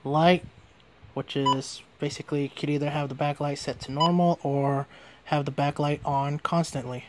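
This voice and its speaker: Guy doing a casual gadget demo, voice a bit high, faint noise in the recording. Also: flat delivery, normal speed. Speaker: product reviewer. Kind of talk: casual product demonstration.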